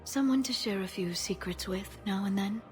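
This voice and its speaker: A woman playing a gentle, wistful alien scientist in a video game, speaking softly and tenderly, often breathy. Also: mid-range voice, normal speed, close to an everyday voice. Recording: faint music behind the voice.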